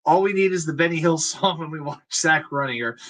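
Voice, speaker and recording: A man hosting a live sports talk stream, chatting in an animated way and greeting viewers as he goes. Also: on the high side, brisk. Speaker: sports talk show host.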